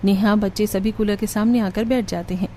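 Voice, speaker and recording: A woman narrates a scripted cartoon moral tale. Her pitch is medium and her tone is slightly mellow. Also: steady tone, a little fast, a little hiss or hum. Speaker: story narrator.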